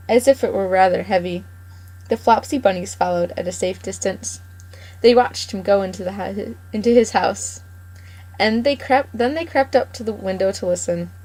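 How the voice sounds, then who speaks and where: A woman reading a children's story aloud in a gentle, expressive way, now and then correcting a slip. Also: medium pitch, low-quality recording. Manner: gentle, expressive read-aloud with small slips and self-corrections.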